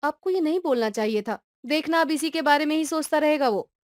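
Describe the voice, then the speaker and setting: A woman plays a cartoon mother who is firm but caring and keeps reassuring her frightened son. Her voice sits high and sounds dry and close. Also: fairly quick, dry recording, close to an everyday voice. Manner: scolding yet reassuring. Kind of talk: cartoon voice acting.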